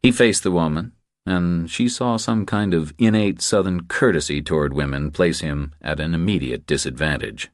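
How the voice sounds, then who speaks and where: A man's voice: deep voice, normal speed, no echo, studio quality. A man reading scripted thriller narration as an audiobook narrator.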